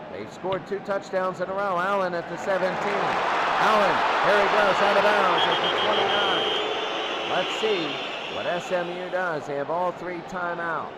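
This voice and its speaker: A man calling American football play-by-play in short, repeated, excitable phrases. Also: high voice. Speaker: play-by-play announcer. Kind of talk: excitable American football radio-style commentary. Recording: loud crowd roar, heavy background noise.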